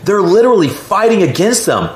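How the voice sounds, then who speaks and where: A man's voice: high voice, sing-song, a little fast. A man in casual, opinionated conversation, speaking emphatically and indignantly and often repeating himself for stress. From United States. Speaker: host. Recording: echoey room.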